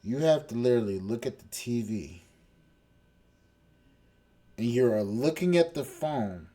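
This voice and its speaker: A man's voice: mid-range voice, lively intonation, a little slow. A man hosting a podcast, reacting to a dating show clip with crude, mocking commentary. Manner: mocking, crude, loud laughing outbursts.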